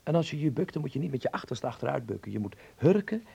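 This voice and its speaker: An actor playing an eager, bossy young son who dreams of films, in a Dutch radio play, with a husky, creaky voice and an instructing, fervent manner. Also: male voice, mid-range voice, big pitch swings, quick pace, dark tone, muffled recording, heavily acted. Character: eager, bossy young film-mad son, stage-struck.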